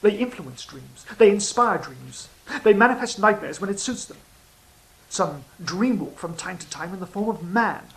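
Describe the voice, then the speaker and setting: Audio drama casting: performer as sly fallen angel, philosophical and cynical, measured theatrical delivery, medium pitch, slightly noisy ambience. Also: animated, gravelly, a bit bright. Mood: musing, brooding.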